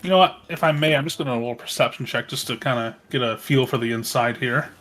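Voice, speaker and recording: A man's voice: mid-range voice, fast talker, fairly bright tone. A man chatting casually as a player in a tabletop game, hesitant and thinking aloud. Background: music.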